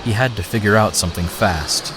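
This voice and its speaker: A man narrating an action story in a steady, dramatic storytelling manner. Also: fairly low pitch, fairly quick, background noise. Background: music.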